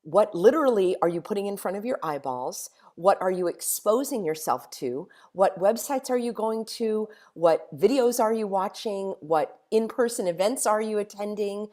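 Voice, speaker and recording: A middle-aged woman hosting a motivational monologue in a hoarse voice, stringing together emphatic rhetorical questions. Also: medium pitch, expressive, normal speed, hardly any pauses, a little mellow. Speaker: host.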